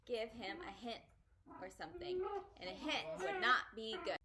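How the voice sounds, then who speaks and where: Woman putting on an excitable, high, bossy little girl. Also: moderate pace, noisy background. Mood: excited, worried. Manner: emphatic, excitable, childlike phrasing. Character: exuberant, bossy, chatty little girl narrating her story.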